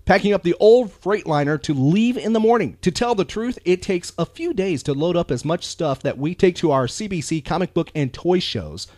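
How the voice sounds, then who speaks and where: Animated American man hosting a podcast. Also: high-pitched, moderate pace, few pauses, dry recording, clean studio sound. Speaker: podcast host. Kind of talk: casual chatty podcast talk.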